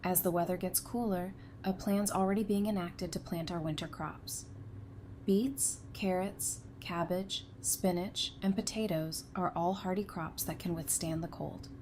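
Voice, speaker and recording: A woman's voice: on the low side, steady tone, a little slow, a little mellow, slight background noise, lightly acted. A woman playing a frank, devoted survivor on a community council in an audio drama. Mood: matter-of-fact.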